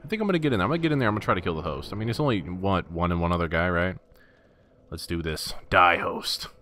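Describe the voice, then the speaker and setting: A man with a low, deep voice talks through his gameplay in a relaxed, casual way. He is wry and self-deprecating, and he sometimes stammers over his words. Faint ambient noise sits behind him. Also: fast. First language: not Russian. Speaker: gaming commentator.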